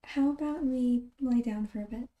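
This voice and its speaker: A gentle girlfriend acted by a woman comforts in an ASMR roleplay. Her voice is fairly high yet extremely soft and mellow. She speaks tenderly and haltingly, often breathy and near a whisper. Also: natural voice. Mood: gentle concern. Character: caring, gentle, reassuring girlfriend.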